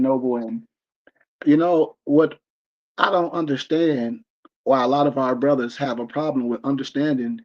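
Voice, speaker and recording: An American man in his forties hosting a livestream discussion, talking in a preachy, emphatic way with many restarts. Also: medium pitch. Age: 40s. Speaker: discussion host. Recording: dull lo-fi stream audio.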